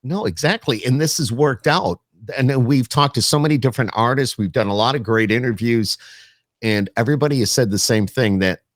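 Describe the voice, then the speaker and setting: A man's voice: mid-range voice, a little fast, no echo, clean studio sound. A man hosting a casual remote interview, talking in an informal, rambling and self-correcting way. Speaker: podcast host, radio interviewer.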